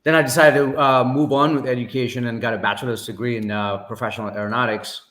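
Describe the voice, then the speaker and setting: A man, telling his story as a podcast guest in a measured way, pausing often with fillers. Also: mid-range voice, flat delivery, fairly bright tone. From Sri Lanka. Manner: measured, many pauses and fillers. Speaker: podcast guest, business owner. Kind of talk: casual interview storytelling. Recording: echoey room.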